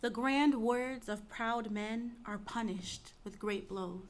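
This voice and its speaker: A woman's voice: on the high side, slow pace, slight background noise. A woman playing a solemn, foreboding chorus member, declaiming in a dramatic reading of a Greek tragedy.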